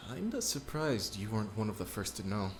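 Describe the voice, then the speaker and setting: A woman plays a blunt, sardonic young man with a very deep voice that drawls teasing remarks. A faint hum sits behind the voice. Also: big pitch swings, a little fast. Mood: flat.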